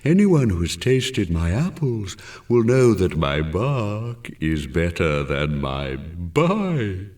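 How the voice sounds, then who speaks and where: A haughty talking tree for a children's story. The voice is deep and very slow, with grand, drawn-out words that swoop up and down. It is touchy and very proud of itself. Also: male voice, very animated, darkish tone, far from the mic, exaggerated voice. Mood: boastful. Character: pompous talking apple tree, haughty and easily offended.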